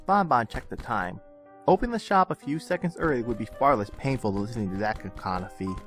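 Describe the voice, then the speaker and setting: Animated man narrating a story. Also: mid-range voice, quick pace. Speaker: audiobook-style reader of fan fiction. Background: background music.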